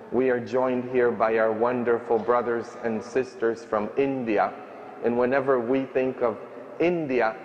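A man in his thirties preaching a sermon as a priest, slow and emphatic, in a large stone church at a stand microphone. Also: medium pitch. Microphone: stand mic at ambo. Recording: echoey church, distant dull sound.

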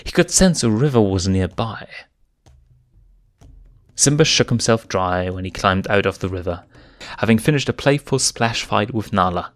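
A man narrating a fan story in a steady, measured reading voice. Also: lowish voice, dry recording. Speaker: fanfiction reader.

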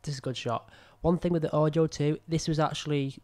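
Young guy reviewing his own footage, croaky and under the weather, thinking aloud with lots of ums, close-miked at a desk. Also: fairly high pitch, steady tone, brisk, a little mellow. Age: young adult. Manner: rambling, self-critical, many fillers. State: ill, croaky voice. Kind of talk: casual commentary over footage. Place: small room indoors at a desk. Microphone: studio mic on a boom arm close to mouth.

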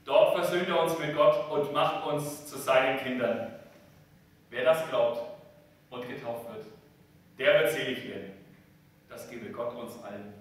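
A man speaking as a pastor, giving a solemn, measured liturgical address from the altar of a church. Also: medium pitch. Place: inside a church, standing at the altar. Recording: echoey church, distant, faint noise.

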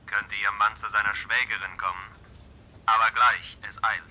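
A man's voice: lowish voice, level tone, normal speed, pushed and bright. A man playing a formal, matter-of-fact police inspector in a radio thriller, heard through a telephone filter. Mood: brisk, polite. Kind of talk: German radio thriller acting.

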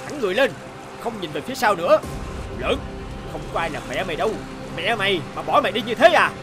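A man's voice: sits high, sing-song, fast. A man voicing a brutal, menacing kidnapper who scolds harshly in a cartoon. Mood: angry, threatening. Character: brutal, menacing male kidnapper bullying a child. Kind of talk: cartoon dubbing. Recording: music behind the voice.

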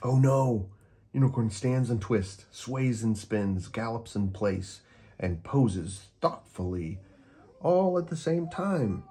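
A man reading a children's storybook aloud as an expressive narrator. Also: mid-range voice, slow pace, husky. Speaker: storyteller, host of a read-aloud show.

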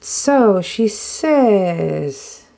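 An English woman chats through an unboxing at her table, excitable and rambling, with plenty of ums and self-corrections. Her voice carries a gravelly, fry-tinged edge and sits at a middling pitch. Her throat is a little dry as she talks. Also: extremely slow. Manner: chatty and excitable, with many ums and restarts. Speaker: planner vlogger. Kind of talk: casual unboxing chat. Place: indoors at a tabletop.

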